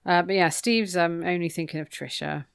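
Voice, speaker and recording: A woman's voice: lowish voice, normal speed, gravelly, clear, resonant tone. A British woman co-hosting a podcast, casually recapping a book in an informal, gossipy, wry way. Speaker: podcast co-host.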